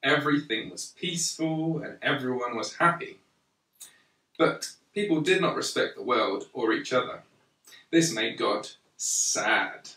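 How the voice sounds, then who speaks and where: A man reads a children's story aloud at a slow, deliberate pace. His voice is bright and sits at an ordinary male pitch. The recording is roomy and echoey, a little away from the microphone. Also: lively intonation, distant mic. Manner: measured and deliberate, with pauses between phrases. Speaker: storyteller reading a Bible picture book to a young child.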